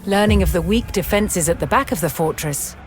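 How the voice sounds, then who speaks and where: A woman giving a measured, formal, scripted historical narration in a documentary style. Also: on the low side. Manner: measured, formal storytelling delivery. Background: music.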